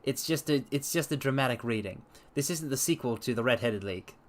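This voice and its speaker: A man streaming a dramatic story reading, with theatrical, expressive delivery and casual asides. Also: mid-range voice, a little fast. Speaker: streamer and reader.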